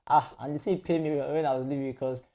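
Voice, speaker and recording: Guest role: young Nigerian man, mellow tone, loose chatty telling with lots of "you know". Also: medium pitch, fairly even intonation, quick pace. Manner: chatty, many "you know" fillers, laughs often. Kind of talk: casual storytelling interview.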